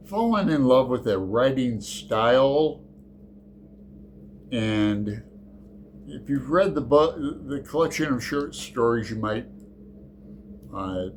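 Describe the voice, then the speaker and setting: A man's voice: mid-range voice, a little hiss or hum. A man hosting his book channel, chatting through a tag in a rambling, hesitant way with lots of fillers. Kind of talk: casual book tag chat.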